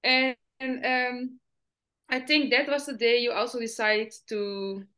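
A woman hosting a podcast, asking questions hesitantly with frequent pauses and fillers. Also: a little high, little pitch movement, bright, forceful tone. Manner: hesitant, many ums and uhs. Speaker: podcast host. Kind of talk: interview questions in English as a second language.

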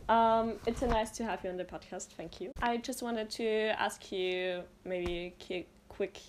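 A woman's voice: medium pitch, fairly even intonation, normal speed, a little hiss or hum. A young woman from Austria interviewing a guest on a podcast, hesitant with many fillers and restarts. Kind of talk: casual conversation.

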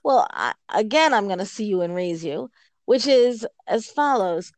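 A woman's voice: medium pitch, expressive, moderate pace, dry recording. A woman in casual Talmud study, answering her partner with playful challenges. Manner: playful challenging back-and-forth.

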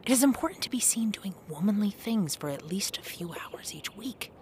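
Woman acting a level-headed midwife, Puritan, wry, measured, medium pitch, light ambience, comedic audio drama. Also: expressive, a little mellow, a little hiss or hum, close to an everyday voice. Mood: practical. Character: sensible, wry Puritan midwife, protective of friends.

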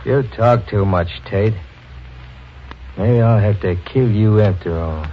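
An actor playing a cold, clipped gangster in a 1940s radio drama. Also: male voice, on the low side, slow pace. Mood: menacing. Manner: clipped and terse. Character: cold menacing gangster, then a calm doctor. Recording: lo-fi old radio recording with faint hiss.

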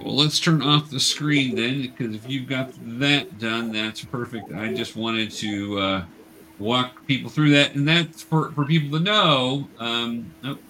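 Casting: male game master, conversational and explanatory, halting phrasing, mid-range pitch, slight background noise. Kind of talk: casual explanatory hosting of a tabletop game.

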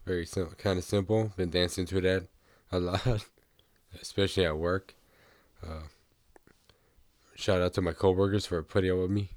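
A young man hosting a casual podcast, sick, who rambles easily and keeps clearing his throat. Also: low-pitched, normal speed, long pauses, dry recording, studio quality. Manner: relaxed, rambling, with frequent throat clearing and small laughs. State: sick, voice a bit off. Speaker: podcast host. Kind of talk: casual chat about music news.

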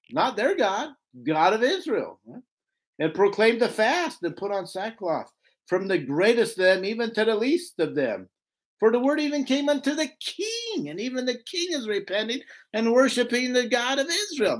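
Higher-pitched man teaching scripture emphatically. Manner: emphatic, rhetorical, often seeking agreement with "right?". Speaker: Bible teacher. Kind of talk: informal Bible lesson with scripture reading.

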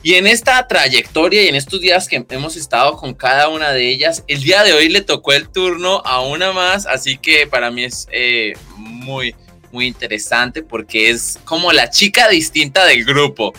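Upbeat male podcast host, bright tone. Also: fairly high pitch, lively intonation, moderate pace, soft background music. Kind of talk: podcast interview.

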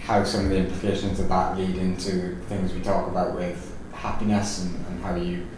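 Low-voiced Welsh man, rambling. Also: level tone, moderate pace. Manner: rambling, restarts, many fillers. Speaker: podcast host. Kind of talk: casual chat. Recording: echoey room, distant mic, faint noise.

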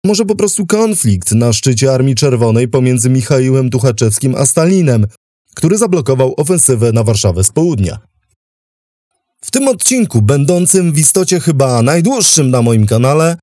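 A man hosting a history podcast, delivering scripted documentary narration in a measured, dramatic storytelling manner. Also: medium pitch, warm tone, dry recording, studio quality.

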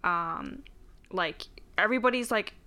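A woman's voice: mid-range voice, a little slow, pushed and bright. A woman co-hosting a casual podcast, talking earnestly and reflectively with lots of "like". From Canada. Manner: heavy use of "like", earnest and reflective. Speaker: podcast co-host.

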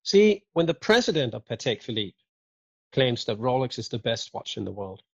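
Gravelly husky man chatting. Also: medium pitch, moderate pace, no echo. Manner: joking, lively, chatty storyteller. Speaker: podcast guest. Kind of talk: casual chat. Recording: dull lo-fi audio.

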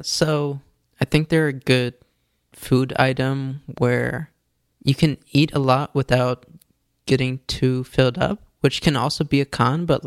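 A man's voice: mid-range voice, vocal fry, no echo, studio quality. A man chatting casually as a podcast co-host, easygoing and filling his talk with "like". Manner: easygoing, filler-heavy with "like". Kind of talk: casual chat. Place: Los Angeles.

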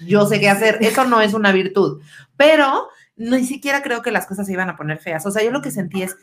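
A woman's voice: medium pitch, animated, fairly quick. A woman with a Mexican accent co-hosting a podcast, telling stories casually in slangy, colloquial speech. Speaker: podcast co-host. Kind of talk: casual chat and storytelling.

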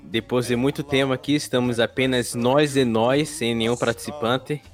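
A man's voice: mid-range voice, fairly even intonation, moderate pace. A man hosting a casual podcast chat, laid-back and swearing as he jokes with his co-hosts. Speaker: podcast host. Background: background music.

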